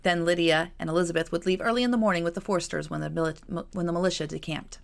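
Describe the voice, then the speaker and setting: A woman's voice: fairly low pitch, steady tone, quick pace, creaky. A woman reading her own novel as scripted audiobook narration.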